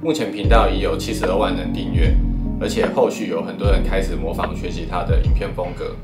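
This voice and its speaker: A man's voice: sits low, fast. A man in his thirties with a Taiwanese accent, talking casually to camera as a vlogger. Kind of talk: casual vlog talk to camera. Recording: roomy echo, loud music behind.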